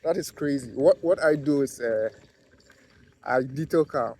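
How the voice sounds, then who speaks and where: A man with a Ghanaian accent talks casually to camera in a vlog, his voice sitting at a middle pitch. He speaks haltingly, filling gaps with fillers and restarting his sentences as he goes. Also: warm tone. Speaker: vlogger, car detailer.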